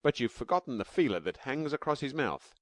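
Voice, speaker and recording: A man voicing a fond, excited cave-man father who explains patiently. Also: medium pitch, a little fast, no room sound, voice pushed up, close to an everyday voice.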